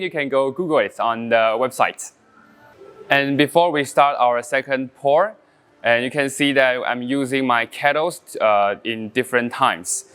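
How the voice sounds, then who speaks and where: Taiwanese coffee champion, smooth voice. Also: male voice, medium pitch, a bit bright. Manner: halting non-native English with frequent fillers, explaining step by step. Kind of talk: casual brewing demonstration.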